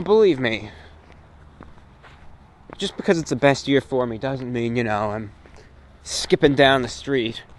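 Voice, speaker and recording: A man hosting his own show, talking in a casual, reflective, rambling monologue of short phrases, recorded outdoors on a walk. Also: mid-range voice. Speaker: host of a radio and online show. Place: outdoors, recorded while walking. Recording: clear ambient noise behind the voice.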